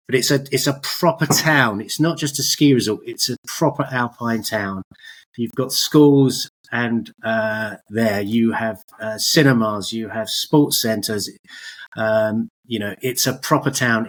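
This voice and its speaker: A man's voice: mid-range voice, fairly bright tone, rough recording. A middle-aged man chatting as an interview guest, telling his story in a hesitant, rambling, self-correcting way. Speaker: podcast guest, business owner.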